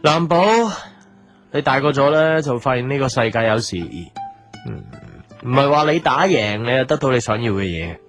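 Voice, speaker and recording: A man's voice: medium pitch, expressive, moderate pace, natural voice. Subdued, reflective big brother played by a man. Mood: subdued, resigned. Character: patient, restrained, lovelorn big brother and narrator. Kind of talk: audio drama dialogue and reflective narration. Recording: soft guitar music behind.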